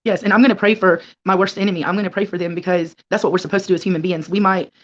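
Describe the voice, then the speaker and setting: A woman's voice: on the low side, fairly even intonation, racing. A middle-aged woman answering an interviewer conversationally and earnestly. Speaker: interview guest. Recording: dull, lo-fi call audio.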